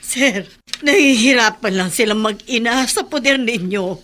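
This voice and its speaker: An actress playing an older housekeeper, hurt and pleading, in a radio drama. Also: female voice, on the high side, a little slow, fairly bright tone. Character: older female housekeeper, hurt but boldly pleading with her master.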